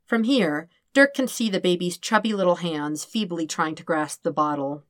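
A woman's voice: on the low side, normal speed, no echo, studio quality. A woman reading scripted fiction as an audiobook narrator.

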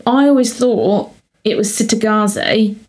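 A woman's voice: medium pitch, measured pace, darkish tone. A young woman co-hosting a casual book podcast, recapping the plot and teasing her co-host dryly.

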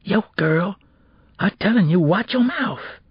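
Casting: male narrator playing swaggering teen street kid, slangy and bullying, lively pitch swings, slightly husky. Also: a little fast, dry recording, dull audio. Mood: defensive, angry. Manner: slangy, pushy, bullying talk. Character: cocky, menacing teenage boy with street swagger, a would-be cheat.

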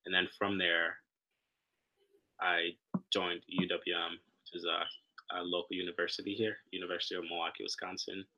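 A young man from Sudan, raised in Kenya, speaking as a podcast guest in a relaxed, halting way with many fillers. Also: deep voice, highs cut off. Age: young adult. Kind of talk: casual interview conversation.

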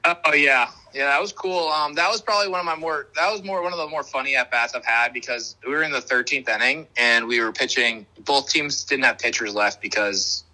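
Bright-voiced young man chatting. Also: mid-range voice, fairly quick, hardly any pauses, rough recording. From Boston area. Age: young adult. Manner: relaxed, rambling, many fillers and restarts. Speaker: minor league baseball player, guest. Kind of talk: casual interview answers. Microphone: video call.

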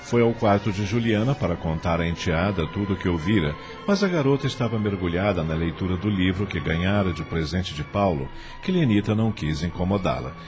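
A man's voice: low-pitched, animated, fairly quick, hardly any pauses. A man with a Brazilian accent reading scripted narration for a radio drama. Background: music under the voice.

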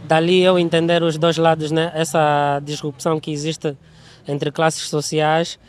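A man's voice: fairly high pitch, monotone, a little slow, a little hiss or hum. A man from Angola introducing himself thoughtfully in an interview. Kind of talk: interview answer.

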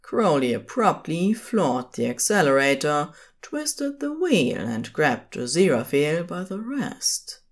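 A woman's voice: low voice, sing-song, takes it slowly, hardly any pauses. A woman reading scripted fiction as the narrator of an audio drama.

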